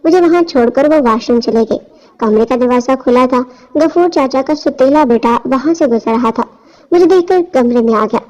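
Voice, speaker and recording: A woman's voice: high voice, moderate pace, darkish tone. A woman reading a scripted first-person story as its narrator. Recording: dull, lo-fi audio.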